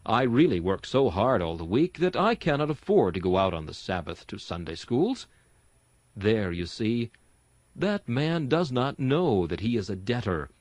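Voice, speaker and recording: Lively, exhorting man reading an old sermon. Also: mid-range voice, normal speed, dry recording. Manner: earnest, exhorting, rhetorical. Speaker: sermon reader and host. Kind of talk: dramatic reading of a Victorian sermon.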